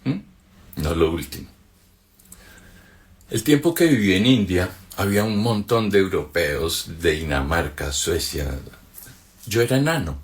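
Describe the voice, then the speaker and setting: A man from Colombia with a Colombian accent, giving a reflective spoken commentary on a philosophical text, checking in with his listeners through quick rhetorical questions. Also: sits low, big pitch swings. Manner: conversational, asks rhetorical checks like "ok?" and "mm?". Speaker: spiritual teacher.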